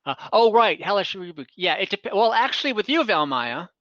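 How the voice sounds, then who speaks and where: Bright-voiced man running a tabletop game. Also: sits high, very animated, fairly quick, dry recording, highs cut off. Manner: hesitant, explains rules with many fillers. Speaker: game master. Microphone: computer mic on a video call.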